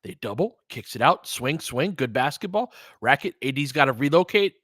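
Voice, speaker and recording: Male play-by-play streamer, bright forceful voice, dry close sound, clipped calls mixed with casual analysis and listener asides. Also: mid-range voice, lively intonation, moderate pace, dry recording. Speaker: play-by-play host of a fan audio stream.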